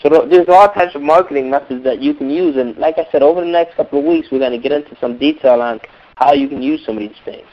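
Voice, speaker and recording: Hoarse male coach, emphatic and repetitive, on a dull lo-fi teleseminar line. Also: fairly high pitch, fast talker. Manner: emphatic, repeats words for stress. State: hoarse from a cold. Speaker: music business coach. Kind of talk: motivational teleseminar talk.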